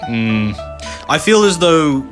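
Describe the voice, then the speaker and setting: A man's voice: fairly high pitch, sing-song, takes it slowly. Casual man commenting over game music. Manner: informal, rambling, often asks "you know". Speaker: gaming video host.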